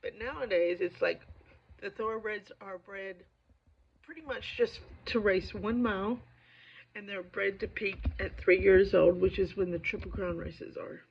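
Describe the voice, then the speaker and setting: A woman with a lingering cold, talking to viewers as a poetry video host in a casual, digressive, self-deprecating monologue with a creaky voice. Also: mid-range voice, unhurried. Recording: dull lo-fi audio.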